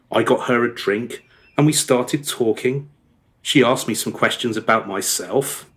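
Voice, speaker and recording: A man's voice: mid-range voice, close to an everyday voice. An actor playing a remorseful married father in a radio play, confessing his past in a rambling, hesitant, over-descriptive way. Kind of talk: radio play dialogue, storytelling monologue.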